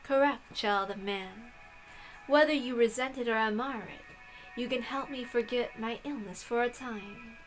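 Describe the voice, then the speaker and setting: Woman acting a smug, high-voiced witchy novelist. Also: soft background music, lightly acted, rough recording. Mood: haughty. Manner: formal, measured, condescending.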